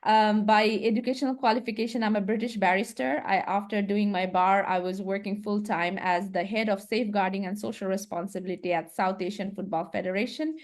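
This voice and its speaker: A woman from Bangladesh introduces herself on a webinar panel in a composed, formal manner. Her voice is mid-pitched and comes through a video call. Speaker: panelist, barrister.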